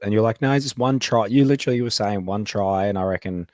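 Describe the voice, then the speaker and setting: A low-voiced man co-hosts a podcast with quick, casual chatter. He keeps the talk moving by prompting the other host. The sound is dry and close. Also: no echo. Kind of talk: casual chat.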